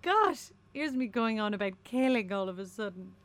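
A woman's voice: fairly high pitch, sing-song, normal speed. An actress playing a breezy, patronising woman radio producer, sugary and chatty with veiled menace, in a darkly comic audio drama. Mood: playfully menacing. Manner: sugary, condescending, theatrically chatty.

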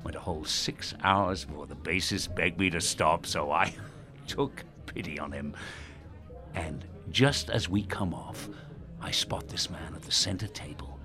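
An Irish actor playing a self-important, manipulative radio host and politician, telling stories in a pompous, theatrical way. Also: male voice, sits low, measured pace, music in the background. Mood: boastful, showy. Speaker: actor in an audio drama. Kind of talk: audio drama acting as a radio host.

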